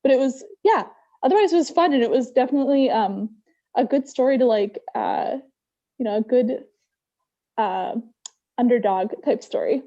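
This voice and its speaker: Mellow, higher-pitched woman, muffled call. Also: expressive, normal speed. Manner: hesitant, hedging with many likes. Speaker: workshop participant giving feedback. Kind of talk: casual, tentative feedback. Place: online video meeting. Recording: muffled lo-fi video call audio.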